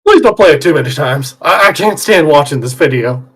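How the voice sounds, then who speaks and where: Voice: mid-range voice, lively intonation, fairly quick, background noise. A game streamer talking casually and commenting on the game in between reading its dialogue aloud. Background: video game music.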